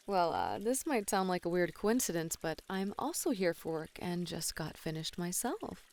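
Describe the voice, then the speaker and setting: A woman playing a flirty, playful bunny woman in an ASMR roleplay, teasing and coy with soft sighs. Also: on the low side, very animated, measured pace. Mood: curious, playful. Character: flirty, playful bunny woman, a model relaxing in a hot spring. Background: pouring, trickling water.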